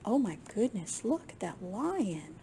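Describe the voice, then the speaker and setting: A woman with a slightly husky, gravelly voice reading a picture book aloud to young children in an expressive way. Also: medium pitch, measured pace, a little hiss or hum. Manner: expressive, with gasps and questions to young listeners. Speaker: children's librarian storyteller.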